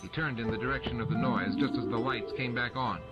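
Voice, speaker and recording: A man's voice: medium pitch, fairly quick, lo-fi sound. A man reading a science fiction novel as scripted audiobook narration. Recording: music and effects under the voice. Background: music and sound effects.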